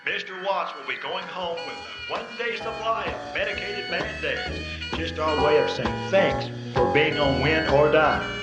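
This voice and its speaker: A man's voice: fairly high pitch, wildly swooping pitch, a little slow, loud music behind the voice. Man as a chirpy prize announcer, roomy sound. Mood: gleeful, salesy. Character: chirpy, callous male game show prize announcer. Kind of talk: comic radio drama acting. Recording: roomy, dull lo-fi audio.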